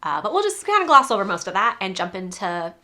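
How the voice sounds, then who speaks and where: A chatty woman talks casually to her viewers about yarn and patterns. Her voice is bright, though a little congested from a cold. She rambles easily and laughs often. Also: medium pitch, big pitch swings, quick pace. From New England. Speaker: crochet designer and podcast host. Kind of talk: casual chat to camera.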